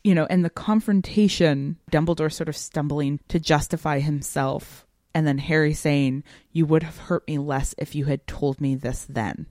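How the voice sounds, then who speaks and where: A woman co-hosting a podcast, talking through a story in a casual, animated and emphatic way. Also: low-pitched, moderate pace, hardly any pauses, dry recording, studio quality. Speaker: podcast co-host. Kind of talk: casual literary discussion chat.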